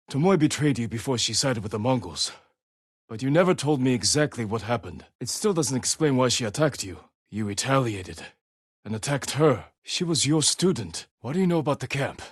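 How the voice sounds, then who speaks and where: A man playing a stoic, determined samurai warrior in a video game, with a husky, hushed voice and a terse, grave, measured delivery. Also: mid-range voice, expressive, no room sound, studio quality. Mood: urgent, insistent. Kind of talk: dramatic video game voice acting.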